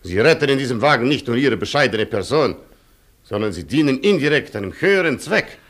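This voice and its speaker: Husky, haughty cavalry colonel. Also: male voice, mid-range voice, big pitch swings, brisk, fairly bright tone. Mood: imperious. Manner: pompous, clipped, condescending. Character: haughty, stubborn Polish cavalry colonel, aristocratic man. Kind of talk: German radio play acting.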